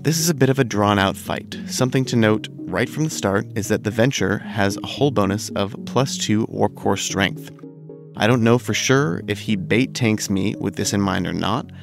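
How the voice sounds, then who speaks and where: A low-voiced man with a gravelly edge, still getting over a cold, talks through a game fight in a steady, analytical voice-over. Clear music plays under him the whole time. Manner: measured, analytical. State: recovering from a cold. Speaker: gaming video host. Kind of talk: scripted game commentary.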